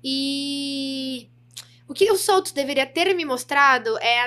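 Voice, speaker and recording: A woman's voice: high-pitched, takes it slowly. A young woman from the São Paulo interior, a podcast guest telling candid stories in casual chat, laughing easily. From interior of São Paulo state. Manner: candid, laughing, lively storytelling. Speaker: podcast guest, reality show participant.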